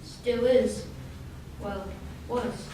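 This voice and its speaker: A woman playing a genteel, wealthy gentleman in old-time radio drama style. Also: on the high side, steady tone, extremely slow. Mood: genteel, troubled. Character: genteel wealthy male estate owner hosting a reunion. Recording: very echoey hall, distant mic, faint noise.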